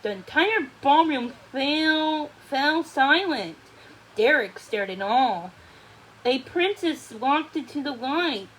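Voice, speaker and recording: A woman reads a storybook aloud. Her voice is high and she takes the narration slowly. There is faint noise behind her. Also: expressive, a bit bright, poor audio. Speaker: story reader.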